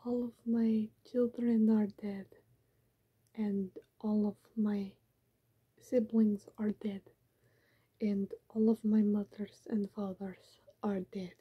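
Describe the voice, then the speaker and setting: A young woman whispering as a vampire disguised as a pitiful old woman, slow and pleading. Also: medium pitch, level tone, muffled recording. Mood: mournful pleading. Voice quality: breathy whisper. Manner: slow, pleading, mock-mournful. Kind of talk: whispered ASMR roleplay. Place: small room with pink backdrop.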